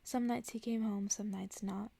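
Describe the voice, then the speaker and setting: A woman reading a bedtime story aloud in ASMR style, soft-spoken and often dropping into a whisper. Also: mid-range voice, fairly even intonation, normal speed, creaky, no echo.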